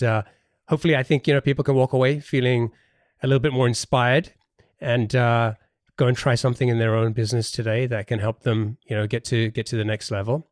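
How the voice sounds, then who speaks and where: A man's voice: medium pitch, dry recording, clean studio sound. A man hosting a casual interview podcast, thinking aloud with hesitations and repeated words.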